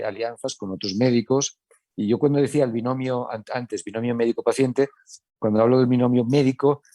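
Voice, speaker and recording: A man's voice: mid-range voice, mellow, dry recording. A middle-aged man with an Italian accent with some Castilian Spanish in it, speaking on a panel as a medical director, talking conversationally with many restarts. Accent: Italian, with a Spanish Castilian touch. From Italy. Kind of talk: panel discussion.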